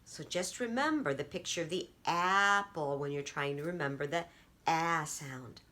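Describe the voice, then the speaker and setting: A woman storyteller and teacher reading aloud and explaining for children, with deliberate, emphatic phrasing. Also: low-pitched, bright, forceful tone. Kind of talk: children's read-aloud and simple lesson.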